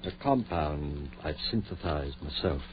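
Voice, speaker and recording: An actor playing an obsessed, intense and commanding surgeon in a 1940s radio horror drama. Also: male voice, rumbling low, expressive, measured pace. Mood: focused, clinical. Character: obsessed surgeon bent on raising his dead wife. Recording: muffled, noisy 1940s radio recording.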